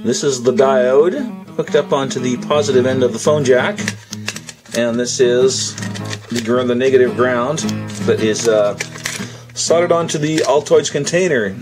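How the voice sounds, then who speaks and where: A man giving a casual hands-on demonstration as a hobbyist builder, in a halting, hesitant way. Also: mid-range voice, music in the background.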